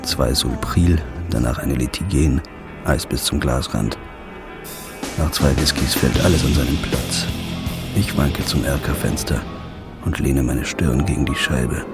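Deep gravelly guy, weary hard-boiled narration, music under it. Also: unhurried. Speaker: narrator and protagonist. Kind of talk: first-person crime drama narration.

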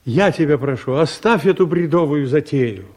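A man's voice: a little high, animated, moderate pace, darkish tone. An older man acting in an audio drama, playing a mild, fussy, law-abiding investigator who explains things earnestly and pedantically. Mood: earnest, reluctant. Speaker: actor in a radio play.

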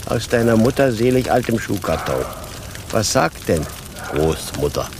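Blustering, wildly swooping devil. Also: male voice, fairly low pitch, sing-song, unhurried, gravelly, background noise, heavily acted. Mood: musing, folksy. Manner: theatrical, blustering, switching between threats and folksy wit. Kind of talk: German radio play acting.

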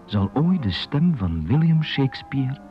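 Impassioned poet, sweeping delivery. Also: male voice, medium pitch, sing-song, unhurried. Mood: defiant, declamatory. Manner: declamatory, dramatic. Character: impassioned defiant poet defending Shakespeare. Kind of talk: radio play acting. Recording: muffled 1980s radio recording over music.

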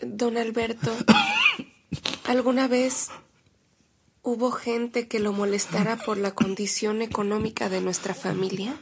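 An actress playing a gentle, attentive woman asking questions in a radio drama dialogue. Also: a little high, level tone, slow pace, long pauses, a little hiss or hum. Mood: gentle, curious.

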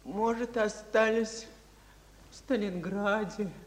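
An actress in a Soviet radio drama playing an exhausted, grieving wartime refugee woman, speaking haltingly and quietly. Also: mid-range voice, lightly acted. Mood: weary grief. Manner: halting, weary, quiet speech.

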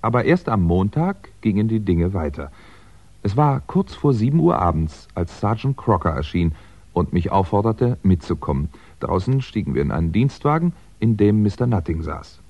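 Low-voiced wry crime writer. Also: male voice, big pitch swings, moderate pace, warm tone. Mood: wry, composed. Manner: wry, formally eloquent. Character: wry crime writer on the run, narrating his own story. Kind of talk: first-person narration and dialogue in 1960s German radio crime drama. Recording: dull, roomy 1960s radio recording.

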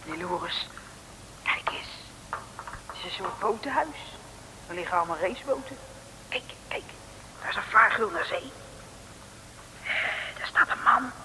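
An actress playing an eager, slightly nervous young female assistant to a detective in an old comic radio serial, deferential and excitable. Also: mid-range voice, moderate pace, long pauses, natural voice. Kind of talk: mid-century Dutch comic radio serial acting. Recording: hissy, clicky old radio recording.

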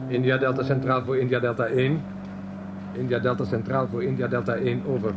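An actor playing a dutiful police sergeant in a radio play, speaking in an official, matter-of-fact manner. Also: male voice, medium pitch, little pitch movement, fairly quick, natural voice. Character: steady, dutiful male British police sergeant and driver. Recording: noisy car and vehicle ambience.

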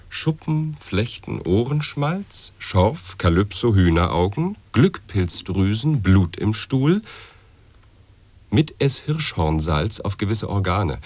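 A man's voice: low-pitched, darkish tone, full character voice. An actor playing an absurdly pedantic man, declaiming wordplay and grotesque nonsense in an avant-garde radio play.

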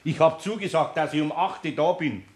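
A man playing a good-natured, middle-aged master carpenter with a Bavarian accent in a children's radio play, avuncular, teasing and gently grumbling. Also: a little high, brisk, natural voice. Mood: teasing, easygoing.

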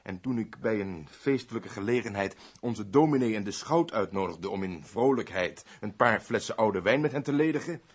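An actor playing a wordy, servile older steward of a noble house in a radio play, with a husky voice. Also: male voice, on the low side, expressive, moderate pace, few pauses. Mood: aggrieved, boastful. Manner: wordy, long-winded, obsequious.

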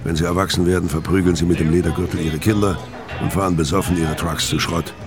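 Very low male voice, actor as hard-bitten middle-aged killer, laconic noir radio play narration, brooding, loud music underneath. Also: fairly even intonation, fast, close to an everyday voice. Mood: brooding, bitter. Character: world-weary dying male syndicate killer, hard and laconic. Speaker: first-person narrator and lead actor.

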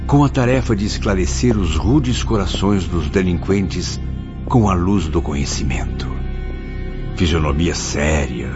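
A deep-voiced, grave and compassionate spirit recounts his memories in a Brazilian radio drama. His delivery is solemn and very expressive, over music in a dull recording. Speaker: narrator character in a radio drama. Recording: dull lo-fi audio under music. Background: background music.

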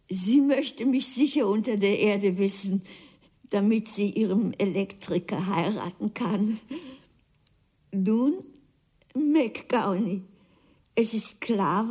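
Imperious, husky old woman. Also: medium pitch, dark tone. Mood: mocking, then scheming. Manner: commanding, scornful, drawn-out. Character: imperious, irritable, sardonic old woman risen from death. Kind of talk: German radio play acting.

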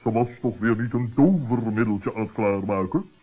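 A man's voice: fairly low pitch, normal speed, slightly rough, faint music behind. A man voicing a pompous, cautious, learned owl in a children's radio play, speaking in a wordy, ponderous way. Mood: suspicious. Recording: dull lo-fi old radio recording.

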